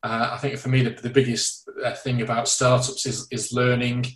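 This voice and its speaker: A man talks casually on a startup podcast, with self-deprecating humour. His voice stays level in pitch, with little rise or fall. He sounds set back from the mic in a roomy, echoing space. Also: flat delivery, moderate pace, fairly bright tone. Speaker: podcast co-host and startup founder. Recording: echoey, distant from the mic.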